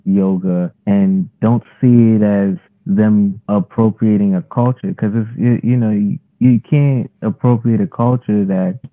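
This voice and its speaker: A man with a deep, calm voice speaks quietly and reflectively, unhurried and easygoing. He sounds like a yoga and fitness coach sharing his views. The audio is thin and narrow, like a phone call. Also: no echo. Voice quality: calm, soft-spoken. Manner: relaxed, reflective, conversational. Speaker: yoga and fitness instructor, guest. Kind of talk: casual interview conversation. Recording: tinny phone-line audio.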